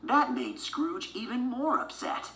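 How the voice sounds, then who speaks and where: A woman's voice: on the high side, wildly swooping pitch, unhurried, a bit bright, reverberant. A woman narrating a children's adventure storybook from a script. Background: music.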